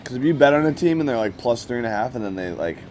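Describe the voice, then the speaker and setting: A man's voice: medium pitch, lively intonation, rapid-fire, a little mellow, slight background noise. A coworker who chats casually while eating, explaining his point.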